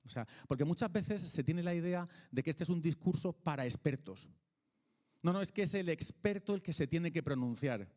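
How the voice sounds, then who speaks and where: A man with a Peninsular Spanish accent giving an informal public lecture in a conversational, halting way, often checking in with short tag questions. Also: fairly high pitch, animated, a little mellow. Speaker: speaker, philosopher of science and author.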